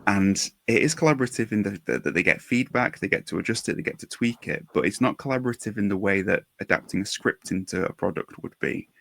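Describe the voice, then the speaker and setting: A man's voice: on the low side, animated, quick pace, vocal fry, hardly any pauses, a bit bright. A man hosting a conversational interview, working through long, exploratory questions and restating as he goes. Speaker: podcast host, interviewer.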